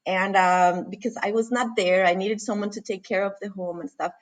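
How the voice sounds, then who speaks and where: A woman from Costa Rica, in her forties, speaks as a guest in a relaxed conversation. She pauses, restarts her sentences and fills gaps with "um" and "uh". She laughs often as she talks. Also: mid-range voice. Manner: hesitant, many fillers and restarts; laughs often while talking. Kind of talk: casual interview conversation.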